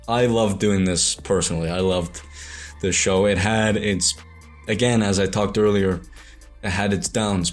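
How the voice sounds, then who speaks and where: Hesitant young Irish-born man, lowish voice. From Ireland. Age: young adult. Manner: hesitant, rambling, many restarts and self-corrections. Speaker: animator and show creator. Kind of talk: reflective monologue to camera.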